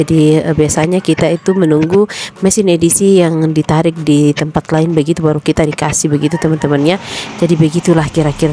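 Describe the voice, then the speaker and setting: A woman's voice: low-pitched, dark tone, a little hiss or hum. A woman with a rural Indonesian accent, chatting casually to her viewers about running her small shop, with many fillers and asides to her friends. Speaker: small shop owner running a banking agent. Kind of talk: casual vlog narration.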